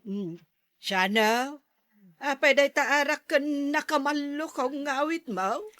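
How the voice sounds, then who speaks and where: A woman plays an exasperated, scolding mother in an Ilocano drama. Her sharp, bright voice is fairly high and takes its time, with an Ilocano accent. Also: slow pace, no room sound, clean studio sound. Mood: exasperated, refusing. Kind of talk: Ilocano radio-style drama acting.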